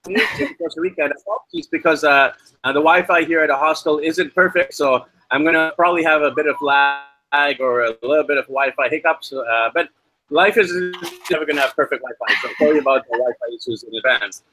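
A man hosting a travel podcast over a video call from a hostel, chatty and filling pauses with "uh". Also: a little high, sharp tone, rough recording. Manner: chatty, many uh fillers. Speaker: podcast host. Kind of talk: podcast interview. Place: hostel with weak Wi-Fi.

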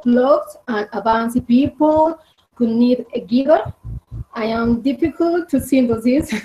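A Colombian woman in her twenties with a Spanish accent, answering interview questions in halting English with many fillers. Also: fairly high pitch, mellow. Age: 20s. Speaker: podcast guest. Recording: dull lo-fi audio.

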